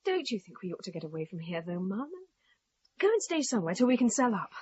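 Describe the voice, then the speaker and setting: An actress playing an anxious young daughter in a radio drama, earnest and placating. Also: female voice, medium pitch, very animated, fast talker, darkish tone, breathy edge, dry recording. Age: young adult. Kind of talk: British radio drama acting. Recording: dull, muffled radio play recording.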